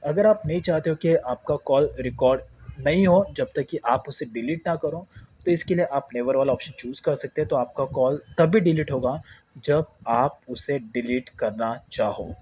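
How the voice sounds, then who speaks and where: A man's voice: medium pitch, moderate pace, mellow, breathy edge, poor audio. A man with an Indian accent giving a casual step-by-step phone tutorial, explaining repetitively and mixing in English words. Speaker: tech tutorial host.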